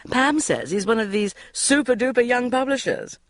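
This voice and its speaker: A nosy, meddling middle-aged busybody, as a woman puts her on. She talks loudly and breezily in a high voice that bounces all over. The sound is close and dry. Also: expressive, moderate pace, no room sound, natural voice. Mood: pushy chirpy.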